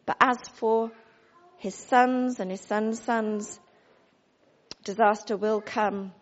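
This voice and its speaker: A woman's voice: mid-range voice, fairly even intonation, takes it slowly, lo-fi sound, pitched below the performer's own voice, lightly acted. A woman voicing God, solemn and stern. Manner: solemn, pronouncing.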